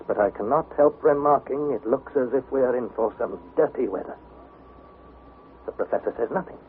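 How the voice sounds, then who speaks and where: An actor playing an anxious young male adventurer, narrating his expedition journal in an earnest, intense, literary style for a radio drama. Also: fairly high pitch. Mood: uneasy, foreboding. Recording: noisy, narrow-band vintage radio recording.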